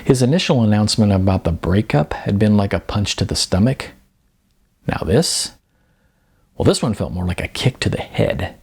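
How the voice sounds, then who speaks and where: Animated, husky male audiobook narrator. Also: lowish voice, moderate pace. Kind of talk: expressive scripted comic fiction narration.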